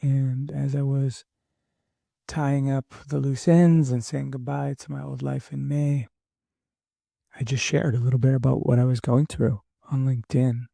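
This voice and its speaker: Hoarse young man, gravelly, flat delivery, dry close sound, halting and candid. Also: mid-range voice, no room sound. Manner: halting, candid, many fillers and restarts. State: losing his voice, hoarse. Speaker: podcast host. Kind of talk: reflective personal monologue.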